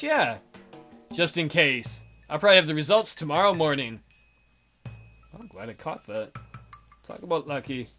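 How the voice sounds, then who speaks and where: A woman's voice: low-pitched, a bit bright. A woman doing a game playthrough, reading text aloud and adding wry, sarcastic asides. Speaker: let's player. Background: game music.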